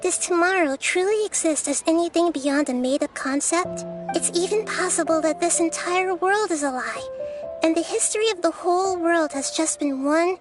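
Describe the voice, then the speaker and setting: A woman voicing a serene childlike spirit girl in an anime game, measured, philosophical and gently teasing. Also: very high voice, music in the background. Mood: musing, teasing.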